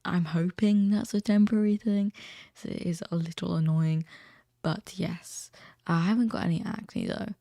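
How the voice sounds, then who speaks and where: A teenage man chats casually in a recently deepened voice with a gravelly crackle. His tone is dark and relaxed, recorded dry and close. He rambles a little and interrupts himself as he goes. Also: normal speed, no room sound. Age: teens. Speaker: vlogger. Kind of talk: casual chat to camera.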